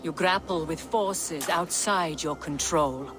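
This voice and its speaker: A woman's voice: deep voice, takes it slowly. An actress voicing a proud goddess queen in a video game, firm and indignant. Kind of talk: dramatic video game acting.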